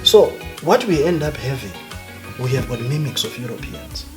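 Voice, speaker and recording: A man with a Zimbabwean accent, a guest academic talking emphatically and with passion in a relaxed interview. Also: medium pitch, moderate pace. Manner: emphatic, passionate, mixes in Ndebele phrases. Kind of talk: conversational interview. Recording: background music. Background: music.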